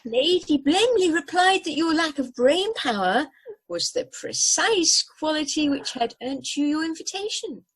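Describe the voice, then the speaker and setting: A woman voicing a haughty, tactless talking house cat in a radio play, formal and drily cutting in manner. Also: high voice. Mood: coolly disdainful. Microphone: video call. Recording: home recording over video call.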